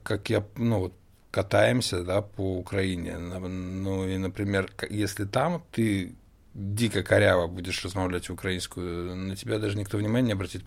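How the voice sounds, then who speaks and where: A man with a low, gravelly voice talks loosely as a podcast co-host. He pauses and restarts often and fills the gaps with fillers. Now and then a mild swear word slips into his easygoing chat. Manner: halting, casual, with frequent fillers and mild swearing. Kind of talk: casual chat.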